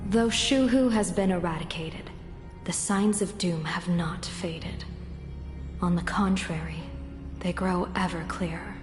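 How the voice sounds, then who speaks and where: Game role: poised female general, played by a woman, measured and wry, lower register, roomy echo. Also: music in the background, natural voice. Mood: resolute. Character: poised, shrewd female general, calm and wryly amused. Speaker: military commander. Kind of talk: video game voice acting.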